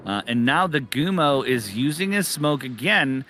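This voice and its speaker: A man casting an esports match live, with excitable, exclamatory commentary on the play as it unfolds. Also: mid-range voice, unhurried, faint music behind. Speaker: esports caster.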